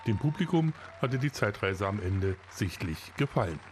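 A man reading a local news report in a measured, scripted narration style. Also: lowish voice, sing-song, background noise.

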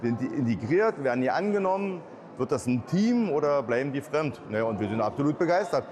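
A man's voice: medium pitch, expressive, fairly quick. A man speaking colloquially and spontaneously in an interview as a regional business owner. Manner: colloquial, plain-spoken. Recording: busy crowd noise behind.

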